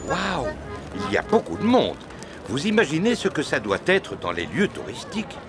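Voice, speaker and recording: A man's voice: a little high, huge pitch swings, normal speed, music in the background. A man in a French cartoon dub voicing a good-natured, hesitant father pig. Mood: amazed. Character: timid, good-natured daddy pig afraid of heights.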